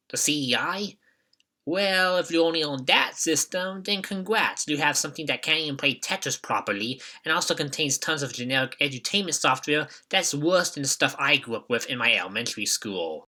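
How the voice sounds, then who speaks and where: A man's voice: mid-range voice, expressive, brisk, pushed and bright. A young man hosting a video, reading a scripted retrospective narration. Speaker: video host.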